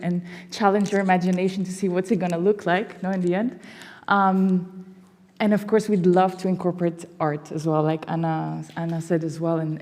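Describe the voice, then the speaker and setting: A woman's voice: fairly low pitch, a little mellow. A woman from Belgium giving an enthusiastic public presentation for a foundation, peppering her talk with "you know". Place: symposium hall. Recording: long hall reverb.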